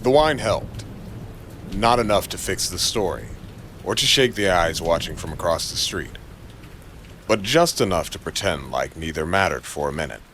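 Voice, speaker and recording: Male noir storyteller, very low register, brooding and clipped, dramatic audio drama read over faint rainy ambience. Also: animated, normal speed, fairly bright tone, slight background noise. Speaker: narrator.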